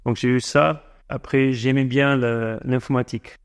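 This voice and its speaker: A man in his forties who grew up in South Africa and speaks French as a second language, answering interview questions slowly with many fillers and restarts. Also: medium pitch, fairly even intonation, clear, resonant tone. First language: not French. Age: 40s. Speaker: guest entrepreneur.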